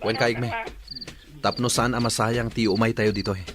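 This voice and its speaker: A man's voice: fairly low pitch, level tone, normal speed, crowd noise, lightly acted. An actor playing an obedient henchman in an Ilocano radio drama, deferential toward his chief. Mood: obedient, alert.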